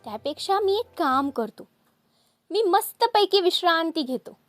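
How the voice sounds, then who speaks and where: A boastful hare from a children's storybook, acted by a woman. The voice is high and cocky, proud and dismissive, with drawn-out words. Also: lively intonation, dry recording, soft background music. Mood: smug musing. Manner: cocky, challenging.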